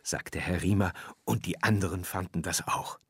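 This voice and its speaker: A man reading a children's story aloud in an expressive narrating style. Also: deep voice, normal speed, breathy edge, no room sound, studio quality. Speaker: story reader.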